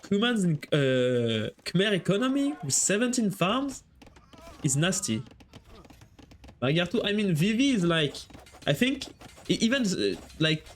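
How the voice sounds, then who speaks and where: A man's voice: high voice, sing-song, a little slow, slight background noise. A man with a French accent casting a strategy game on a live stream, chatting with viewers between plays. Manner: chatty, answers chat while casting.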